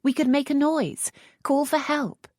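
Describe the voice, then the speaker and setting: A woman's voice: sits high, steady tone, unhurried, no room sound, studio quality, voice pushed up. A woman doing a spirited, impetuous young lady. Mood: eager. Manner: raised, bright and spirited. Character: young Regency lady, impetuous and sharp-tongued.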